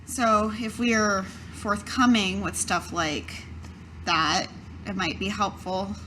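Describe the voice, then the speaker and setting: A woman playing a tabletop game, speaking in character in a conversational, halting way. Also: mid-range voice, steady tone, pushed and bright, slight background noise, poor audio. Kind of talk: improvised in-character roleplay in own voice.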